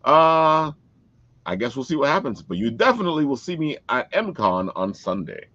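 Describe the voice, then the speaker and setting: Male podcast host, enthusiastic casual promo chat, very lively intonation, slightly muffled lo-fi sound. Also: a little high, normal speed, dry recording. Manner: enthusiastic, plugging.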